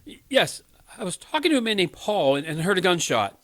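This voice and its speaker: A man's voice: high voice, big pitch swings, fairly quick, a bit bright. An actor in a radio play, playing an earnest, principled younger man who works on a crisis hotline and speaks with moral sincerity. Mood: alarmed.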